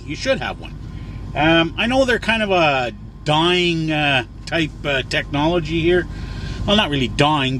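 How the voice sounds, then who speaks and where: A man's voice: on the high side, a bit bright. A man talking casually as a trucker vlogger, explaining things in a rambling, folksy way and repeating himself for emphasis. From Canada. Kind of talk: casual explanatory vlog talk. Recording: clear vehicle and outdoor noise. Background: vehicle and outside noise.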